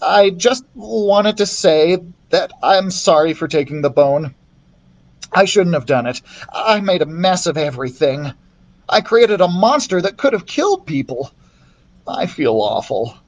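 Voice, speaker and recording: A man's voice: sits high, expressive, measured pace, pitched above the performer's own voice. A man voicing a nervous, remorseful young man who stammers sheepishly, read into a built-in laptop mic.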